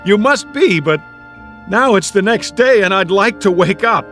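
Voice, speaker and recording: An actor playing a lonely, distressed Air Force man with no memory, rambling to himself haltingly and wryly in a radio drama. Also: sits high, expressive, a bit bright, faint music behind. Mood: desperate. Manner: rambling to himself, halting, wry joking turning agitated.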